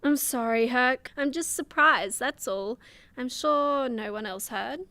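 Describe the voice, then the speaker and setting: High, bright, wry superheroine played by a woman. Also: a little slow, no echo, heavily acted. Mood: surprised, softening. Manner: sarcastic, blunt. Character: sharp-tongued, wry young superheroine, a frank drinking buddy. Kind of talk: superhero comedy radio play acting.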